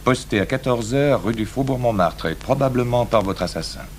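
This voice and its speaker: An actor playing a falsely genial, caustic newspaper editor in a 1980s French radio drama. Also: male voice, medium pitch, expressive, normal speed, natural voice. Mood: mock-friendly. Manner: mock-friendly, sardonic. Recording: noisy old radio broadcast recording.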